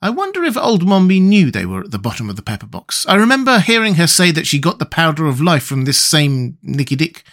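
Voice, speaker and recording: A man's voice: a little high, huge pitch swings, fairly quick, hardly any pauses, a bit bright, voice pushed up, heavily acted. A man voicing an earnest, thoughtful, inquisitive young boy with a direct storybook manner. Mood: grave.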